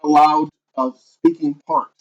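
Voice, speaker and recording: A man's voice: on the high side, fairly even intonation, unhurried. A man with a Rhode Island accent, playing a tabletop game in joking, casual chat that often trails off. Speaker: player. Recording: dull lo-fi stream audio.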